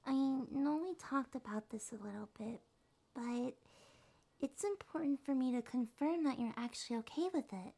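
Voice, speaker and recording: Woman doing a sweet little cuddle monster girl for asmr, kinda high but mellow, soft n soothing, sleepy. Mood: gentle, reassuring. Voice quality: soft, breathy, often whispered. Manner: slow, tender, soothing. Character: small, affectionate succubus cuddle monster girl, gentle and sleepy. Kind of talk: ASMR roleplay.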